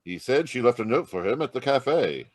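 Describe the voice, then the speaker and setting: A man acting a steady, authoritative Mountie sergeant in an old-time Yukon radio drama. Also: medium pitch, moderate pace. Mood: steady, questioning. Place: home, live online video performance.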